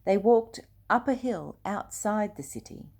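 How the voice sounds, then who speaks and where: An older woman reads a children's storybook aloud at an unhurried, slow pace. Her voice is gentle and hushed and sits at a middle pitch. At times she falls to a soft near-whisper. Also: expressive, gravelly.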